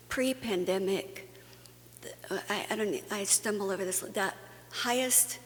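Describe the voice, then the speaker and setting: Slow-talking woman from Guatemala in a hall. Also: medium pitch, a bit bright. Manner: earnest, conversational, with frequent fillers and restarts. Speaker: conference speaker. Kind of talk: plenary talk to a faith audience. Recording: long hall reverb, faint hum.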